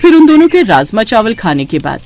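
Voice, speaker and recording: A woman narrating a story in an expressive storytelling style. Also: a little high, brisk, a little hiss or hum.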